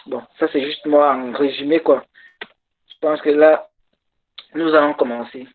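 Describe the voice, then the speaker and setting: A man whose first language is English, giving a workshop talk in halting French over a video call and pausing to search for words. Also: fairly high pitch, fairly even intonation. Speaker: conference speaker. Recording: tinny phone-like call audio.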